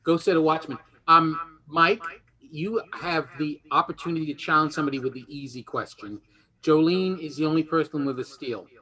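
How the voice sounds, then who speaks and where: A man with a high, very bright voice hosts a game show. He talks off the cuff and jokes with the players as he moves things along. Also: moderate pace, low-quality recording. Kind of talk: unscripted, joking game show hosting.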